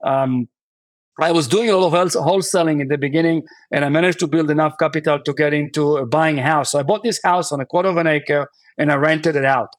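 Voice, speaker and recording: Podcast guest: man from Israel, somewhat high voice, dry close sound, talks haltingly with lots of uhs. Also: fairly even intonation, dry recording. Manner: hesitant, many fillers and restarts. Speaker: podcast guest, real estate investor. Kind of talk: conversational interview answers.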